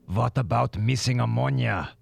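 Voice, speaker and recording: An actor playing a grave, calculating scientist in an audio drama, speaking in formal, deliberate phrases. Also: male voice, medium pitch, vocal fry, slightly rough, dry recording. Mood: grave, insistent. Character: grave, calculating male scientist, Dr. Hilbert, pragmatic and secretive.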